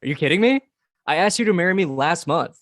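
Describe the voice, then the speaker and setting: A woman's voice: fairly low pitch, expressive, fast talker, close to an everyday voice. A woman reading the part of a supportive boyfriend who is a doctor, eager at first and then hurt and stammering.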